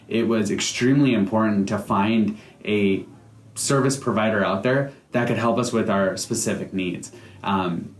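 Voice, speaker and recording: A man from the United States, a company founder giving a customer testimonial, speaking earnestly with many "uh" and "um" fillers. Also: fairly low pitch. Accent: American. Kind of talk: testimonial to camera, semi-prepared speech. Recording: echoey room.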